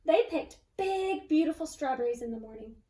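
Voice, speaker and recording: Woman storybook reader: teacherly, engaging, very lively pitch, slightly distant mic in a roomy space. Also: moderate pace. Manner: animated, engaging listeners with questions. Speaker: read-aloud teacher. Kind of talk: expressive children's storybook reading with asides. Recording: roomy echo, away from mic.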